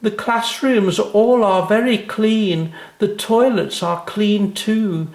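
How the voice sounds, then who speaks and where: High-pitched cheeky schoolgirl, acted by a man, eager, sing-song, emphatic, children's storybook, roomy sound. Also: fairly even intonation, takes it slowly, darkish tone. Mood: proud, reciting. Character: cheeky, eager little schoolgirl reciting a poem.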